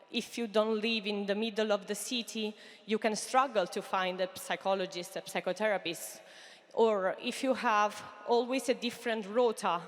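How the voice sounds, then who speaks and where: A woman in her thirties speaks English with an Italian accent, pitching her company from a big conference stage. She talks earnestly and turns straight to the crowd, with plenty of "uh" fillers. Her voice sits at a middle pitch, and a long hall reverb trails each phrase. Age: 30s. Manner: earnest, addresses the audience directly with frequent fillers. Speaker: startup founder giving a stage pitch.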